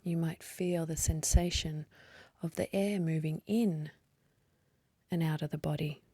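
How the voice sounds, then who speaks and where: A woman leading a guided meditation in a soft, breathy voice, gently and unhurriedly, with long pauses. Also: low-pitched, fairly even intonation, no room sound. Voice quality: soft, breathy, partly whispery. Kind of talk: guided meditation, slow calm instruction.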